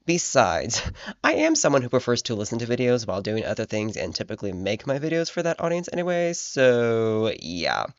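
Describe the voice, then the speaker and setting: A man's voice: mid-range voice, sing-song, brisk, dry recording, lo-fi sound. A man with a congested voice from a cold, reading a scripted book review in a chatty way with asides. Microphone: voice-over over gameplay footage.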